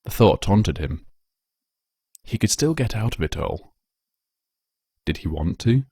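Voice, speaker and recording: A man with a low voice narrates a story. He reads in a measured, dramatic way, and the sound is dry, with very little room. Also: sing-song, warm tone, husky, dry recording. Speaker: narrator, fan fiction reader.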